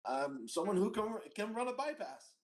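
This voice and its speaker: A man's voice: high voice, very animated, moderate pace, darkish tone. A man playing a tabletop game, speaking casually and hesitantly as he searches for words.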